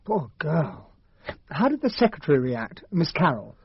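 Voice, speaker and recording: Nice polite english gent, quite high voice, puzzled sidekick, dull dry radio drama recording. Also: male voice, big pitch swings, normal speed, slightly rough, dry recording, exaggerated voice. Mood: concerned.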